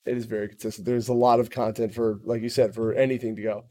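A man from the United States chatting as a podcast guest, animated and self-mocking, with casual swearing. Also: fairly low pitch, fast talker. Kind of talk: casual chat.

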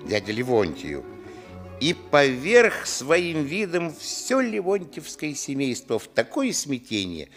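A man's voice: a little high, takes it slowly, soft background music, heavily acted. An actor playing a grown man fondly recalling his village boyhood, narrating a literary radio play expressively. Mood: wry, fond. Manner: expressive storytelling.

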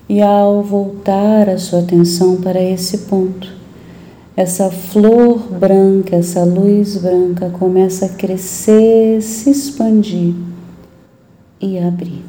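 A Brazilian woman leading a guided meditation in a soothing, gentle, unhurried manner with long pauses. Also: mid-range voice, a little hiss or hum.

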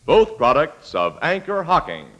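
A man's voice: on the high side, wildly swooping pitch, unhurried, a bit bright, soft background music. An American man working as a radio announcer, reading scripted copy formally and emphatically in 1940s style. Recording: vintage radio broadcast recording.

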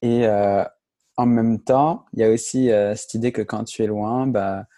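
A young man hosting a podcast, discussing casually in a halting way with frequent restarts. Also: lowish voice, fairly even intonation, mellow, no room sound. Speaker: podcast host.

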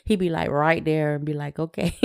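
A woman's voice: deep voice, big pitch swings, a little slow, clean tone. A woman co-hosting a podcast, talking casually and plainly, often repeating short phrases. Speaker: podcast co-host. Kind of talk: casual conversation.

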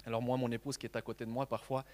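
A man in his thirties from Mexico, a native Spanish speaker, preaching a sermon in an earnest, halting way with many repeated words. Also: mid-range voice, little pitch movement, a little mellow, in a large hall.

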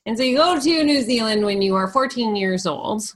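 A woman's voice: a little high, lively intonation, normal speed. A woman hosting a podcast who asks her guest eager, curious questions in casual chat. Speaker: podcast host.

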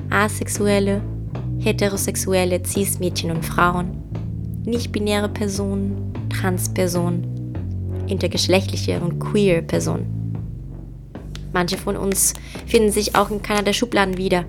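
A woman reciting scripted lines in an audio play, brisk and declarative. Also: mid-range voice.

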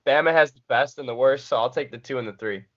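A man co-hosting a casual sports podcast, measured and conciliatory, often restarting his sentences. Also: medium pitch, dull audio. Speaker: podcast co-host.

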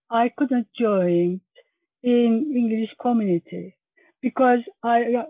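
A woman's voice: on the high side, dry recording. Turkish woman on a thin phone-like line. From Turkey. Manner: careful, non-native phrasing. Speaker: English learner interviewed.

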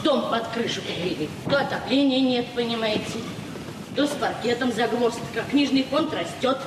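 An actress playing a modest, middle-aged provincial librarian, plain-spoken and frank, in a 1970s Soviet radio drama. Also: female voice, fairly high pitch, lively intonation, normal speed, close to an everyday voice. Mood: weary, chatty. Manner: plain-spoken, apologetic, talkative. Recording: noisy old radio recording, echoey, distant.

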